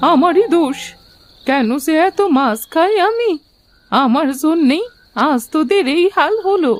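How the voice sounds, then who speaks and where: A woman's voice: sits high, expressive, measured pace, dry recording. A woman voicing a fretful, scolding old village grandmother in a cartoon story. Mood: worried, guilty. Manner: scolding, worried, dramatic. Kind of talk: Bengali cartoon story acting.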